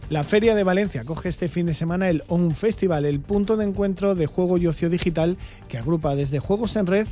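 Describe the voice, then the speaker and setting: A male news reporter with a slightly raised but mellow, dark-toned voice, delivering a smooth, scripted report. Soft music plays faintly behind him. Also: high-pitched, a little fast.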